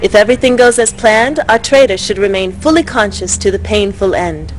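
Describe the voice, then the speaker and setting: A woman as a crisp military officer. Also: medium pitch, fairly quick, soft background music, natural voice, rough recording. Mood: confident. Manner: crisp, confident. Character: female military officer and co-anchor. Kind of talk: game cutscene acting.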